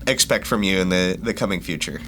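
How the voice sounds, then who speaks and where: A man's voice: low-pitched, brisk, fairly bright tone, slight background noise. A man speaking as host and interviewer in a casual, conversational style.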